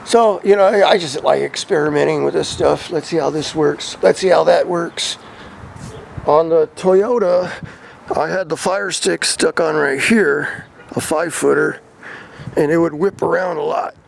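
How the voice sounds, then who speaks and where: An American man with a gravelly voice, talking casually and informally as a hobbyist vlogger. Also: fairly high pitch, measured pace, slight background noise. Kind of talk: casual hands-on gear talk.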